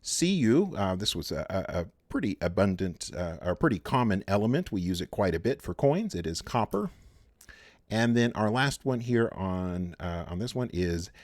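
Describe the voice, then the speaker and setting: Deep-voiced man lecturing, lively pitch. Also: normal speed, dry recording. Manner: relaxed, many filler words and restarts. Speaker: chemistry instructor. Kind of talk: recorded lecture.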